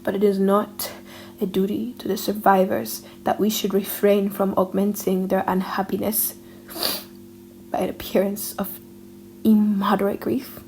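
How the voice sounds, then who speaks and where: A grieving father from a gothic storybook , read by a woman, counsels with solemn, measured earnestness. The delivery is gentle and reasoning, with some noise behind the voice. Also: mid-range voice, flat delivery, lightly acted, low-quality recording. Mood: solemn, pleading. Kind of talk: dramatic audiobook reading.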